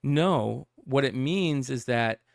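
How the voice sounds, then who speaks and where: A man's voice: medium pitch, animated, slow pace, creaky, no room sound, clean studio sound. A man hosting a casual comic news talk show, rambling and reading out a message in his plain voice. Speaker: host.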